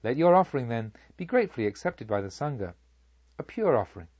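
A man voicing a serene Buddha, a kindly sage who teaches in a measured, solemn, rhetorical way in an audiobook. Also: mid-range voice, big pitch swings, a little mellow, dry recording, highs cut off. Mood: gracious, solemn. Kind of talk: audiobook character speech.

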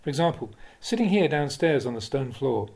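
A man, a Buddhist monk, reading spiritual teachings aloud in a measured, deliberate, instructive way, like an audiobook of a talk. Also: medium pitch, very animated, vocal fry, a little mellow. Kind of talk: audiobook-style reading of a dharma talk with questions and answers.

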